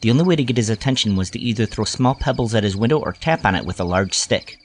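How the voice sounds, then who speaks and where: A man's voice: fairly low pitch, lively intonation, quick pace, a little hiss or hum. A man narrating a scripted, nostalgic first-person story as its storyteller.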